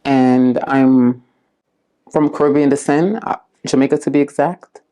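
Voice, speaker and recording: A woman from South Florida, a community case manager, giving unhurried, earnest interview answers, often pausing with "um" and "like". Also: rumbling low, steady tone, warm tone. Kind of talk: casual interview answers to prompts.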